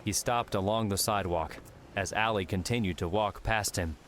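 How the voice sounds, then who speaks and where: A man's voice: lowish voice, moderate pace, fairly bright tone, slight background noise. A man reading scripted fiction as an audiobook narrator.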